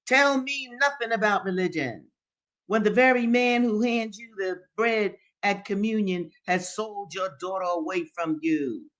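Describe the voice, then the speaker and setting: A woman's voice: lowish voice, measured pace, lightly acted. A defiant man worn thin by waiting voiced by a woman reader, bitter and resolute. The speech is formal and emphatic, a rebuke delivered in storybook drama. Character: defiant, embittered enslaved man rejecting religion. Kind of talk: dramatic novel reading.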